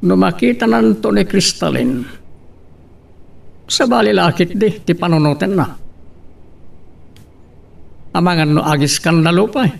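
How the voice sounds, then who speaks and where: Impatient Filipino businessman dad, commanding, very gravelly, slightly off-mic, radio serial. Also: sits high, expressive, measured pace, long pauses, distant mic, full character voice. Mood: brooding, scheming. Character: curt, impatient wealthy businessman and father. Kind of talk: Filipino radio drama acting.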